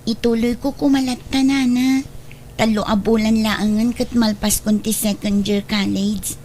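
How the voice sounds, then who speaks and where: Figure: actress as high-voiced young wife. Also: female voice, level tone, unhurried, darkish tone, a little hiss or hum, lightly acted. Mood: grateful, polite. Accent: Filipino, Ilocano. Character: young pregnant college student wife, grateful and polite. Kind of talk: Ilocano radio drama acting.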